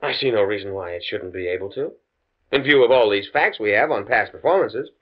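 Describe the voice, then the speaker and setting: A man's voice: fairly low pitch, lively intonation, fairly quick. An actor playing an earnest, naive, pedantic male university scientist in a stuffy, precise 1950s radio drama style. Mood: confident, eager. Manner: precise, stuffy, stammers when flustered. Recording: muffled vintage 1950s radio recording.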